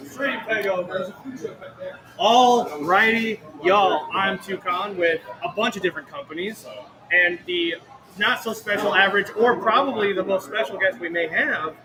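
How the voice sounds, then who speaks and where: A slightly hoarse man from Florida co-hosting a casual livestream, joking quickly. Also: high voice, crowd noise, poor audio. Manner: jokey, quick-witted. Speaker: co-host. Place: outdoor event. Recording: clear background event noise.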